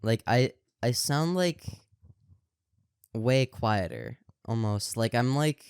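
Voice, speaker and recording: A man's voice: medium pitch, expressive, takes it slowly, darkish tone, clean tone, dry recording, clean studio sound. A man co-hosting a podcast in casual chat, rambling with lots of fillers and restarts and projecting his voice. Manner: projecting voice on camera. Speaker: podcast co-host.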